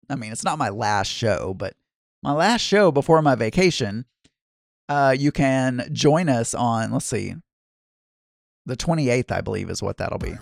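A man's voice: medium pitch, vocal fry, dry recording, studio quality. A man with a Southern accent chatting casually to viewers, rambling and often correcting himself mid-sentence. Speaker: vlogger. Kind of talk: casual chat.

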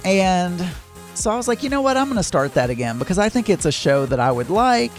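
Southern guy rambling on in a casual vlog, kinda high voice, lots of restarts, faint music behind. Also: very animated, a little fast. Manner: rambling, chatty, frequent self-corrections. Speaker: vlogger. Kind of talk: casual chat.

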